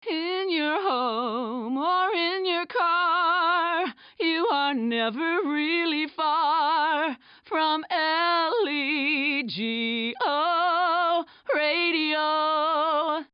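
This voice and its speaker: A woman's voice: high-pitched, drawn-out words, no echo, muffled recording, soft background music. A woman performing as the voice of a radio station jingle in a game, drawing out her words. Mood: sweet, promotional. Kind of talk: slow, drawn-out radio jingle.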